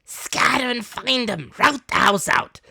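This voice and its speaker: Man acting a shouting, furious old pirate villain. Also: extremely high pitch, measured pace, vocal fry, breathy edge, dry recording, clean studio sound, voice pushed up, exaggerated voice. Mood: vengeful, urgent. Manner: shouting, barking orders. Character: furious blind old pirate beggar, commanding and vicious. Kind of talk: dramatic audiobook character voice.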